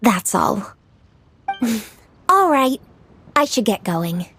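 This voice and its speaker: Composed, high-voiced young female official. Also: sing-song, drawn-out words, a bit bright, a little hiss or hum, full character voice. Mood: composed, polite. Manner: composed, formal, articulate. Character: Keqing, young female government official, composed, diligent, polite. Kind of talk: video game voice acting.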